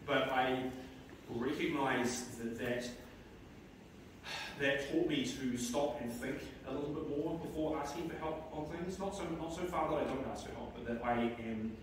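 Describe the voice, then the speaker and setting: A middle-aged man giving a eulogy for his mother in a large church, speaking in a halting way with many restarts. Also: mid-range voice, noisy background. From New Zealand. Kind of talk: eulogy at a memorial service. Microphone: far camera in the pews. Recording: echoey church from far off.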